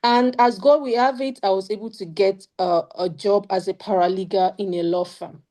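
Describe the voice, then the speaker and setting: A woman's voice: mid-range voice, fairly even intonation, moderate pace, warm tone. A young woman with a Nigerian accent sharing her study-abroad experience in an earnest, emphatic way on a video call. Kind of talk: casual panel talk.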